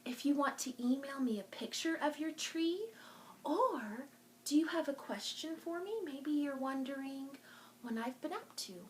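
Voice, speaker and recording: Slow-paced, high-voiced woman hosting children's storytime. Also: a little hiss or hum. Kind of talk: talking to young children in a craft and show-and-tell video.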